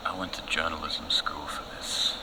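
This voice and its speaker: An actor playing a dry, exasperated field reporter on a live news cross in an audio comedy, deadpan and weary. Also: male voice, very deep voice, moderate pace, noisy background.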